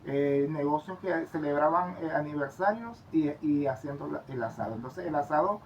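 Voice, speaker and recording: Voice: mid-range voice, moderate pace. Co-host, keen and chatty, lots of fillers, muffled sound with faint hiss. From Venezuela. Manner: enthusiastic, many fillers and restarts. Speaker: co-host of travel channel. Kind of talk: casual conversational commentary.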